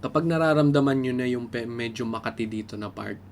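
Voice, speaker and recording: A man's voice: mid-range voice, fast, slight background noise. A man with a Filipino accent hosting a casual tutorial, explaining informally step by step. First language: Tagalog. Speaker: beatbox tutorial host. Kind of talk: casual instructional vlog in Taglish.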